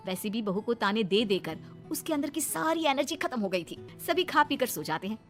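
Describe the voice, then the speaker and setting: A woman narrating an animated moral story in an expressive storytelling manner. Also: a little high, moderate pace, soft background music.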